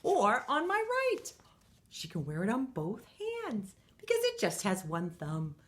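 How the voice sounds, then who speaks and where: A woman's voice: medium pitch, wildly swooping pitch, unhurried, background noise. A middle-aged woman reading a picture book aloud to young children in a playful, sing-song manner. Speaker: children's storytime reader.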